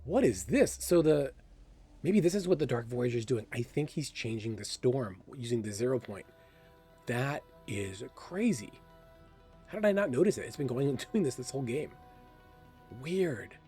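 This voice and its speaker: Casting: male gaming host, relaxed mellow tone, chatty and keen, thinks aloud over faint music. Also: mid-range voice, normal speed. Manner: enthusiastic about the game. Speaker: gaming video creator and host. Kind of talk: casual gameplay commentary.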